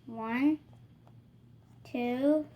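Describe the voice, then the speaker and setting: A woman voicing a calm, matter-of-fact young female pig from a children's picture book. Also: high voice, very slow, muffled recording, noisy background. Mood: helpful.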